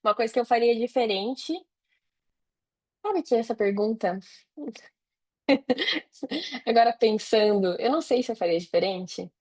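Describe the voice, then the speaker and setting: A woman's voice: a little high, expressive, a little slow, pauses often, husky. A Brazilian woman chatting casually as an interview guest, informally thinking her answers through aloud. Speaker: podcast guest, digital marketer.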